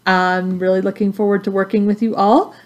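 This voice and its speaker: A woman from Texas with a Texan accent, an instructor giving a recorded welcome introduction in a friendly, measured way with many ums. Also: medium pitch, little pitch movement.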